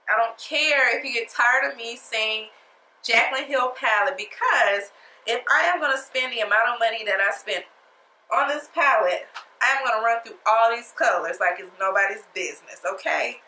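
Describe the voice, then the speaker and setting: A woman's voice: a little high, moderate pace, bright, forceful tone, reverberant, poor audio. A woman talking through a makeup tutorial in a casual, sassy, chatty way, her nose stuffed up from a cold. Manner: chatty, sassy, talks straight to viewers. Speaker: beauty vlogger.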